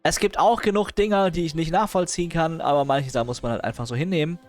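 A man's voice: a little high, fast talker, faint music behind. A man streaming casual reaction commentary on videos, impatient and sarcastic, with chuckling asides.

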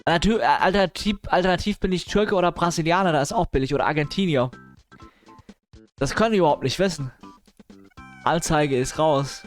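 A man with a fairly high voice talks casually as a live streamer. He drops English gamer slang into his speech and adds grumbling asides. Music plays behind him. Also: a little fast, long pauses. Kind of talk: casual livestream chat, reacting to news and viewers.

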